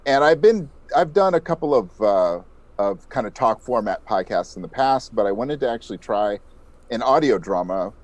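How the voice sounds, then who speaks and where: A man's voice: mid-range voice, expressive, normal speed, darkish tone, no echo. A man speaking as an interview guest, explaining things modestly in a conversational way. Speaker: interview guest, audio drama podcast creator.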